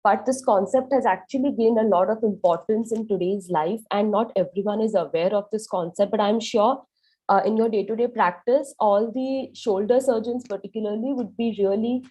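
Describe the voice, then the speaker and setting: A woman's voice: medium pitch, normal speed, warm tone, slightly rough, low-quality recording. A young woman with an Indian English accent presenting a radiology talk over a video call, her voice harsh from a sore throat, explaining with "um" fillers. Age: young adult. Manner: explanatory, with "um" and "you know" fillers. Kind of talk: medical presentation.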